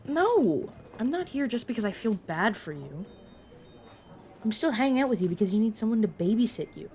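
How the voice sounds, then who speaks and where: A woman playing a brisk, protective vampire mentor in an audio roleplay, talking casually and teasingly to a new fledgling. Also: on the high side, sing-song, a little mellow, soft background music. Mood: exasperated, teasing. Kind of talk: narrative audio roleplay, addressed to the listener.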